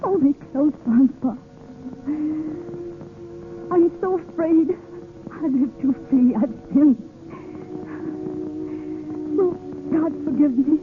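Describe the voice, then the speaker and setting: A woman's voice: high voice, slow, pauses often, music in the background. An actress playing a devoted, self-sacrificing young woman in love in old-time radio drama, pleading and melodramatic. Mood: dying, pleading. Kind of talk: 1930s-40s radio drama acting. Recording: tinny, noisy old radio recording.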